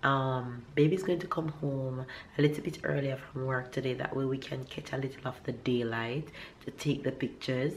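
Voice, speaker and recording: A young woman with a Jamaican accent, slightly hoarse from a cold, chatting casually to her vlog camera at home. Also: rumbling low, steady tone, normal speed, a little hiss or hum. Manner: rambling, warm, upbeat, with restarts. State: slightly hoarse, recovering from a cough. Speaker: vlogger. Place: living room at home.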